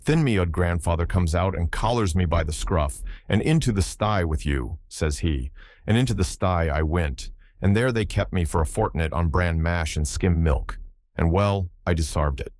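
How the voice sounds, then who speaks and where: A man's voice: sits low, expressive, moderate pace, darkish tone, no echo. A man voicing a garrulous old Irish sailor spinning folksy, colloquial yarns in an audiobook story. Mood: lively storytelling. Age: older. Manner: rambling, colloquial yarn-spinning.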